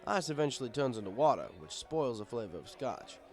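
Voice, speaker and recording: Man, animated mid-range voice, wry, conversational, audiobook-style narration. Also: medium pitch, brisk, faint music behind. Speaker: story reader. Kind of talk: first-person scripted narration, fan fiction audiobook.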